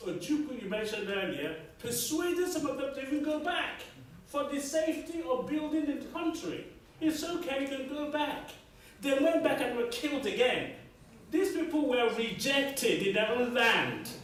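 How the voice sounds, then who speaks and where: A man, a Yoruba speaker, argues his case at a public debate, hammering home points and asking the audience for agreement. His voice sits unusually high for a man. It is picked up from a distance in a big echoing hall, with faint noise underneath. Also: animated, normal speed, fairly bright tone. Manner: emphatic, repeats key phrases, asks the room for agreement. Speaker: debate panelist. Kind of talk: impassioned public debate talk. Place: large echoey lecture hall.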